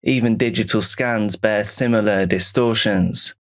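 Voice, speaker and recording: Slow, low-voiced man narrating a horror case file. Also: steady tone.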